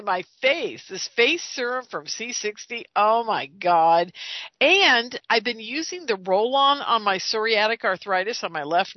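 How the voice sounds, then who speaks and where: A woman's voice: medium pitch, no room sound, highs cut off. A woman in her late sixties hosting a radio show, making informal, emphatic and repetitive appeals to listeners in a chatty way. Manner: chatty, emphatic, repeats herself. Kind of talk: informal host-read sponsor and donation appeal.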